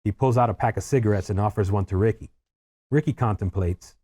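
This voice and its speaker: A man with a fairly low voice narrates screenplay stage directions. He reads scripted action lines at a table read. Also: fast, mellow.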